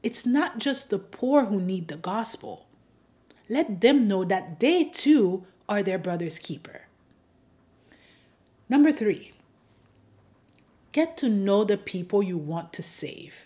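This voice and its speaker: A woman from Haiti speaks as the host of an advocacy video, in a mid-range voice. Her delivery is scripted and persuasive. She makes her points deliberately, with a wry, rhetorical edge. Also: medium pitch, lively intonation, dark tone. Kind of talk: scripted persuasive commentary addressed to camera.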